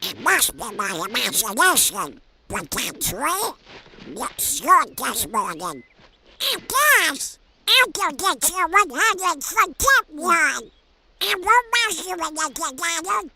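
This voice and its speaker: A woman voicing a plucky, excitable male cartoon duck uncle in a comic dub, with a squeaky, creaky voice. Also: slow pace, slight background noise, full character voice. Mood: puzzled.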